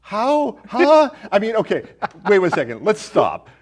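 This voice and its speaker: A man's voice: high-pitched, huge pitch swings, unhurried. A man hosting a casual film discussion, with an incredulous, questioning way of bantering. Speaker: film podcast host.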